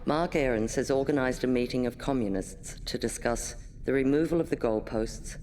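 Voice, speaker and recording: A man's voice: mid-range voice, normal speed, a little mellow, a little hiss or hum. A man reading out official reports as a scripted documentary voice-over. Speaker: reader of intelligence files.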